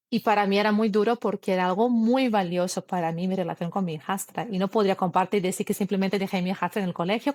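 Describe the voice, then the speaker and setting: A woman's voice: medium pitch, dry recording, studio quality. A woman from Brazil, speaking her as an interview guest and telling a personal story earnestly, with frequent restarts. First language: Portuguese. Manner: earnest, halting, many restarts and fillers.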